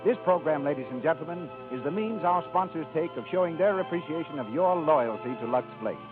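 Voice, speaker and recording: A man's voice: a little high, lively intonation, a little fast. A man reading a scripted introduction as a 1930s radio announcer. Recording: tinny, band-limited 1930s radio broadcast, music.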